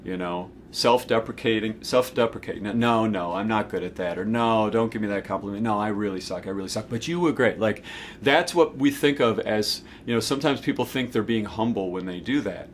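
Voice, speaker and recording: Lower-voiced man in his forties, noisy recording. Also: a little fast. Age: 40s. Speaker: host of a spiritual self-help video series. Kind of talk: informal spiritual teaching talk to camera.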